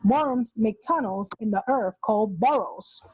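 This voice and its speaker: A woman's voice: on the high side, measured pace, a little mellow, husky, poor audio. A woman reading a children's nonfiction book aloud, explaining as she goes and pointing out the pictures. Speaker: read-aloud host for children.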